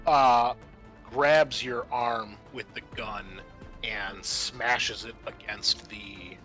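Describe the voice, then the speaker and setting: A man acting as game master, narrating and refereeing a tabletop roleplaying game. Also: on the low side.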